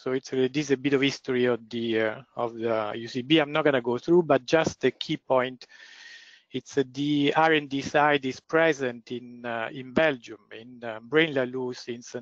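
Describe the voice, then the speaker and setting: Man, loud voice, webinar lecture, halting, lots of fillers, muffled call audio. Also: medium pitch, no room sound. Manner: hesitant, many fillers and restarts. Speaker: guest lecturer, process engineer. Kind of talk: webinar presentation.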